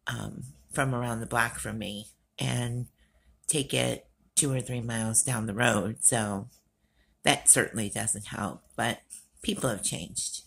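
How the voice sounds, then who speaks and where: A middle-aged woman vlogger with a raspy voice, sounding tired and worn out, rambling earnestly with frequent ums in an unscripted rant. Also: fairly even intonation, takes it slowly. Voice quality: raspy, gravelly low voice. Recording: faint outdoor work noise behind.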